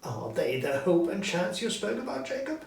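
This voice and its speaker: A frightened old miser from a storybook, performed by a man, pitched high and agitated. He sounds trembling, pleading and excitable. The room echoes and the mic is a little way off. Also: normal speed, vocal fry, heavily acted. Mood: fretful. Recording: roomy echo, distant mic, background noise.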